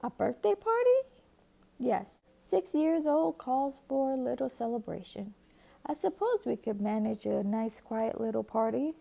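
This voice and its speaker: A woman reading a storybook aloud and voicing a sensible, cautious mother bear in a measured tone. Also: a little high, animated, vocal fry, dark tone, exaggerated voice.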